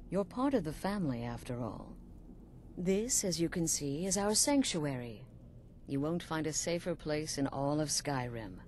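Sly middle-aged assassin boss lady from a game, deep voice, measured, bit of background noise. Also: darkish tone. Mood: sly, welcoming. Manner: measured, knowing, dramatic pauses. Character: middle-aged female assassin leader, coolly sinister, sly. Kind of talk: video game voice acting.

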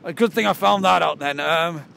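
A man in his twenties acting as a flustered police detective, asking halting, repetitive questions in an improvised comedy film. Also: a little high, gravelly, fairly bright tone. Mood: awkward questioning. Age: 20s. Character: flustered male police detective investigating murders. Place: outdoors by a rocky seafront. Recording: noisy outdoor camera audio.

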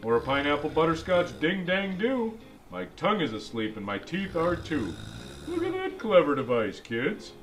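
A man's voice: fairly high pitch, huge pitch swings, normal speed, low-quality recording. A man voicing a jolly old Santa Claus who reads a picture book to kids in a chatty, exclaiming way. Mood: jolly, delighted. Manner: exclaiming, chatty asides between lines. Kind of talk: animated storybook read-aloud. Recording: music behind the voice.

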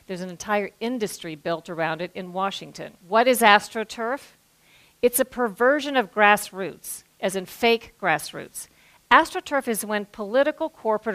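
A middle-aged woman with an American accent gives a prepared stage talk in a measured, articulate way, speaking as a journalist. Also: medium pitch, fairly bright tone. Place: auditorium stage before an audience. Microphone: headset.

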